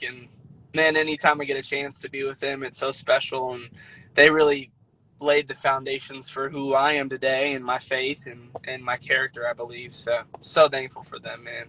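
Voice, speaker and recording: A man's voice: mid-range voice, sharp tone, low-quality recording. A young man from the American Midwest chatting enthusiastically as an interview guest on a live video call. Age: young adult. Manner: upbeat, enthusiastic. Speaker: singer-songwriter guest. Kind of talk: casual interview chat.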